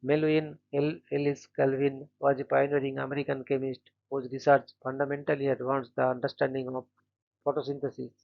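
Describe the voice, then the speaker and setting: A man hosting a daily channel, reading short scripted biographies in a flat, steady way and stumbling now and then on names. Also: medium pitch, brisk, vocal fry, phone-quality sound. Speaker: host of a daily events channel.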